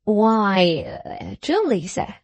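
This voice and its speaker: A woman's voice: medium pitch, very animated, very slow, no room sound. A woman doing a fawning, self-important old man. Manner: fawning and deferential, clipped "sir" endings. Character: obsequious, self-important older man with a wooden leg.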